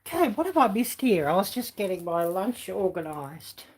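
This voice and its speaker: A middle-aged woman with a croaky voice chatting casually on a livestream, answering viewers with lots of ums and you knows. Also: medium pitch, big pitch swings, normal speed. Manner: rambling with many fillers, chatty. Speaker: livestream host reading chat. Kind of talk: casual chat.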